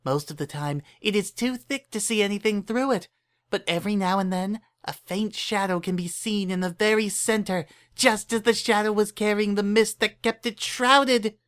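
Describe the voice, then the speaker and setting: A high, girlish cartoon filly, played by a woman spins a spooky tale with theatrical relish. She is a bossy young pony who wants to be heard out. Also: normal speed, natural voice. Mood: spooky, self-important.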